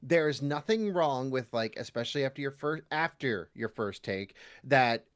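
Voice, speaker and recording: A man's voice: mid-range voice, expressive, normal speed, clear, resonant tone. A man speaking as a guest voice actor in a casual podcast interview, acting out imagined remarks as he explains.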